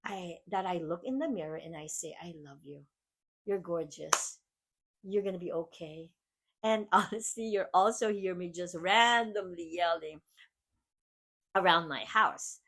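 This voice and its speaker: A woman's voice: lowish voice, unhurried, creaky, fairly bright tone. Filipino woman hosting an earnest, emphatic talk. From Philippines. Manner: earnest and emphatic, with frequent "like" and self-corrections. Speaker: podcast host. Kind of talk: personal motivational talk.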